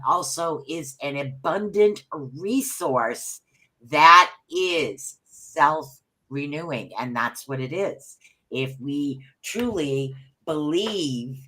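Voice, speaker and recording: A woman co-hosting a podcast, chatting casually and reading notes aloud haltingly. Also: sits low, bright, forceful tone. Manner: chatty, loses her train of thought, reads haltingly. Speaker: podcast co-host.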